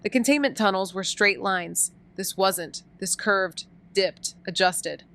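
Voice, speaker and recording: An actress playing a wry, chatty young amateur sleuth in a fiction podcast, with a casual, wisecracking manner. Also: female voice, mid-range voice, slow pace, fairly bright tone. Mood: reflective narration. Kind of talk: fiction podcast drama acting and narration.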